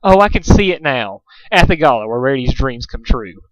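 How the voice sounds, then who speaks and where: A man's voice: medium pitch, very animated, brisk, dry recording, lightly acted. Man acting a flowery, dramatic lady unicorn. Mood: dreamy, romantic. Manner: gushing, theatrical, affected refinement. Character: flowery, dramatic, vain ladylike female cartoon unicorn. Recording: dull lo-fi home recording.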